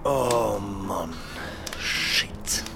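A man's voice: lowish voice, very slow, gravelly, loud music behind the voice, exaggerated voice. A man playing a casual, hesitant, good-natured young construction worker with a Swiss accent in an audio drama. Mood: weary. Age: young adult.

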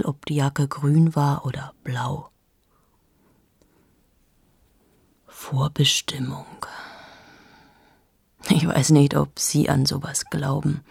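A cold, self-absorbed journalist. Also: female voice, low voice, vocal fry, husky, no echo, clean studio sound, exaggerated voice. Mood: hushed. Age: 30s. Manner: shifts into hushed whispers, addresses the listener directly. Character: cold, self-absorbed female journalist in her late thirties. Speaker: monologue speaker. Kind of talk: radio drama monologue.

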